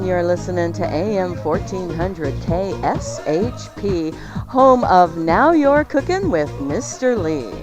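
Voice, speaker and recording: Female radio co-host and ad reader, upbeat, lively and varied in pitch, punchy emphasis. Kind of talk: lively radio announcing and ad reading.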